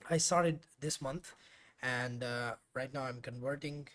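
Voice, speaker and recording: A man's voice: medium pitch, a little slow, a bit bright. A man whose first language is Urdu, hosting a live coding stream and talking casually and chattily to viewers, with frequent fillers and restarts. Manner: chatty and rambling, with frequent fillers and self-corrections.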